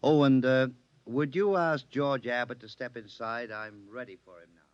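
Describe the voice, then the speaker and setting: An actor playing a composed, authoritative doctor in a 1950s radio drama. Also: male voice, mid-range voice, normal speed, clean tone, dry recording, natural voice. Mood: clinical, grave. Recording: dry, dull old radio recording.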